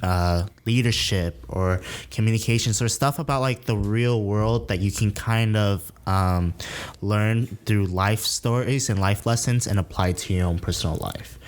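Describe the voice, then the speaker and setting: A man's voice: on the low side, measured pace, clear, resonant tone. A young man hosting a podcast in a casual, chatty way. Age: young adult. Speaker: podcast host. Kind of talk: casual chat.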